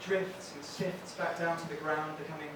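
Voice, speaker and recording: A high-voiced Englishman with a softened Brighton accent tells wry stand-up stories in a deadpan way. He is heard from off the mic in a very echoey room. Steady noise hangs behind him. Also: normal speed. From England. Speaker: stand-up comedian. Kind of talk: stand-up comedy set. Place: comedy venue with audience. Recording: echoey, distant, noisy room.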